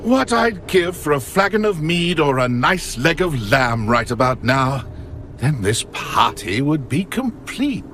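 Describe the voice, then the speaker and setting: An actor playing a pompous, mocking British ghost lord in a video game, theatrical and taunting. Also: male voice, on the high side, sing-song, measured pace, fairly bright tone, a little hiss or hum. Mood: haughty, suspicious. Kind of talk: video game dialogue acting.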